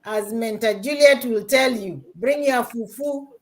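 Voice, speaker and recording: Brief: woman host on a video-call stream, a touch high, unhurried, welcoming. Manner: warm, welcoming and upbeat, repeats thank-yous. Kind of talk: live-stream panel chat.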